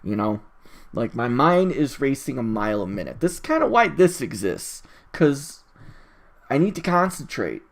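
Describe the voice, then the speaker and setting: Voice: medium pitch, very animated, normal speed, vocal fry. A person recording a casual solo audio log, rambling in an opinionated, exasperated and emphatic way with frequent tangents and swearing. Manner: rambling, easily distracted, opinionated.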